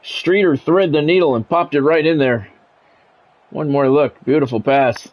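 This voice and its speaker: A man's voice: fairly high pitch, moderate pace, muffled recording. A man doing live play-by-play of a sports video game, switching between announcer-like calls and casual asides to viewers. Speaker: streamer and play-by-play commentator. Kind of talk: live sports game commentary mixed with chat.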